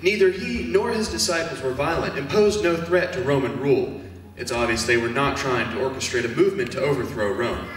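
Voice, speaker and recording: A man's voice: mid-range voice, fairly quick, a little hiss or hum, lightly acted. A man acting an urgent news reporter in a church stage drama, asking probing, insistent questions. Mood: grave, perplexed. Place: church hall stage performance. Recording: very echoey hall, distant mic.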